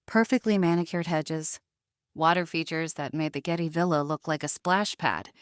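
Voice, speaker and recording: Low-voiced woman narrating drama, dry studio sound. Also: normal speed, clean tone, dry recording. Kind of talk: dramatic scripted audio story narration.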